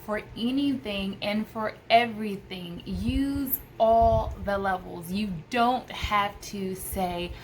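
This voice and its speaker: A woman's voice: medium pitch, takes it slowly, hardly any pauses, a little hiss or hum. An American woman in her thirties, a homeschooling mother, gives casual tips to camera in an enthusiastic, emphatic way. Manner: enthusiastic, emphatic with repeated phrases. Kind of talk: casual vlog advice to camera. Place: indoors, small room by a window.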